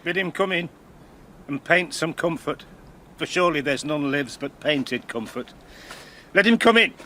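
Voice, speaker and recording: A man's voice: fairly high pitch, animated, a little slow, pushed and bright. A male actor with a British accent playing a grief-maddened, vengeful older Spanish father in a classical radio drama, theatrical and volatile, with faint background noise. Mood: bitter, erratic.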